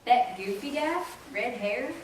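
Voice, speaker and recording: Casting: woman playing a wondering small-town churchgoer, higher voice, theatrical storytelling, very echoey. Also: lively intonation, unhurried. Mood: eager, wondering. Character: wide-eyed, churchgoing small-town Southern townsperson. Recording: echoey stage, distant, faint noise.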